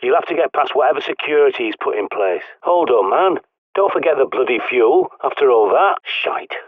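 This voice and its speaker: A man's voice: fairly low pitch, no echo. A man voicing a blunt, sardonic male survivalist in a video game, with a British accent, guiding someone over the radio. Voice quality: gruff, sardonic, swears often. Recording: dry tinny radio-like line.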